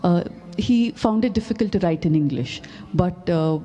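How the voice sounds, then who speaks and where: A woman's voice: lowish voice, moderate pace, mellow, highs cut off. A woman moderating a festival panel in a friendly, conversational way. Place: festival stage.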